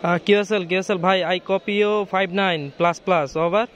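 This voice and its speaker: A man's voice: sits high, fairly even intonation, takes it slowly, fairly bright tone, dull audio, a little hiss or hum. A man with a Bangladeshi accent speaking as an amateur radio operator, hesitant and repetitive, moving between chat and call signs. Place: outdoors on a rooftop.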